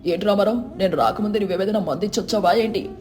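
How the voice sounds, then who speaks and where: A woman's voice: medium pitch, fast, darkish tone, close to an everyday voice. A woman voicing an unruffled, curious male village physician in a storybook tale, pondering as he speaks. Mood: puzzled, assessing.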